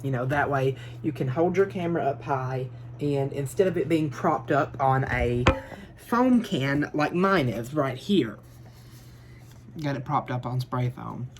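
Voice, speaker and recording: A man giving advice in a casual phone vlog from his bedroom, talking nervously and quickly, rambling with lots of fillers and restarts. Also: medium pitch, animated, background noise. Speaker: vlogger. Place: small bedroom. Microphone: phone built-in mic, no microphone.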